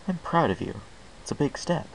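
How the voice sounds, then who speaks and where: A man voicing a stoic, solemn middle-aged father pony who speaks calmly and with quiet pride. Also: mid-range voice, moderate pace, gravelly, slight background noise, pitched above the performer's own voice, low-quality recording.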